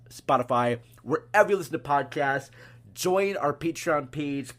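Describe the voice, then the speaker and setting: A man hosting a podcast, making announcements in a casual, informal and effusive way. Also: mid-range voice. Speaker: podcast host.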